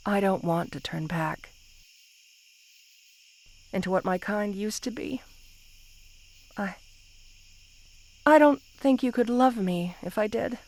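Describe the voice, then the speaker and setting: A woman's voice: mid-range voice, a little mellow. A woman playing a possessive, lovesick snake queen in an intimate roleplay ASMR, speaking tenderly with sighs. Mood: vulnerable, pleading. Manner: slow, tender, sighing, possessive delivery.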